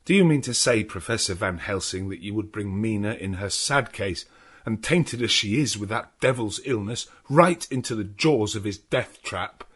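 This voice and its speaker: A man's voice: on the low side, moderate pace, slightly rough. Man playing an anguished, fiercely protective young husband. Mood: outraged. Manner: impassioned, declamatory.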